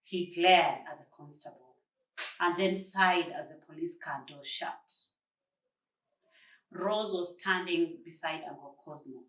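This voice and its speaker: A woman from Kenya reads a children's book aloud as narration, with deliberate, expressive phrasing. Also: on the low side. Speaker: volunteer story reader. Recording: echoey room, slightly distant microphone.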